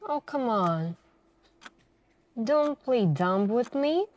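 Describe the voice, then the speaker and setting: A suspicious female detective played by a woman questions a suspect in an ASMR roleplay. She talks extremely slowly and deliberately, leaving pointed pauses. Her manner is measured, insinuating and quietly menacing. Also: on the high side, animated. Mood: accusing, knowing. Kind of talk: slow ASMR roleplay interrogation.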